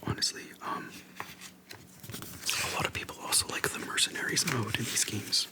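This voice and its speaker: An ASMR host speaking in a soft, breathy whisper close to the microphone, chatting casually in a relaxed, halting way. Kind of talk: casual rambling game retrospective.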